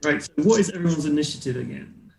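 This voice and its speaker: A man narrating a tabletop game as game master, improvising and halting as he searches for words. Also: on the high side, animated, vocal fry, darkish tone. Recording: roomy, echoing room sound.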